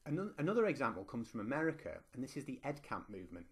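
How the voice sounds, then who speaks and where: A man's voice: medium pitch, sing-song, racing, husky. A middle-aged man from northwest England with a Lancashire accent, giving a conversational presentation to teachers, with frequent ums and restarts. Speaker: university lecturer and teacher. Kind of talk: online conference presentation.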